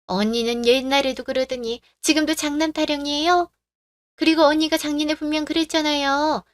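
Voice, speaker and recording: A woman's voice: high-pitched, fairly even intonation, brisk, dry recording, studio quality, pitched above the performer's own voice, lightly acted. A woman voicing an outspoken aunt who talks in a blunt, reproachful way. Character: outspoken aunt siding with the bride. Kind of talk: radio drama acting.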